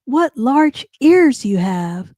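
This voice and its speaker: A woman's voice: fairly high pitch, sing-song, extremely slow, warm tone, no room sound, clean studio sound, voice pushed up, full character voice. A woman voicing an innocent, wondering little girl in a children's storybook, exclaiming wide-eyed. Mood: wondering, uneasy.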